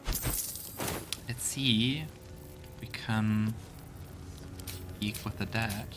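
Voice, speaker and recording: A man's voice: on the low side, fairly even intonation, extremely slow, noisy background. A man giving casual let's play commentary, thinking aloud and reading game text out as he goes. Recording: game music behind the voice. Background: video game music.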